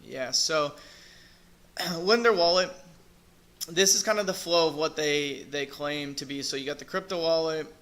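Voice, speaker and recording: A man's voice: fairly high pitch, measured pace, pauses often, a bit bright. Man with a worn, fading voice. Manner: hesitant, thinking aloud with fillers and restarts. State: losing his voice, clearing throat. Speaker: crypto video reviewer. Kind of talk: casual screen-share review.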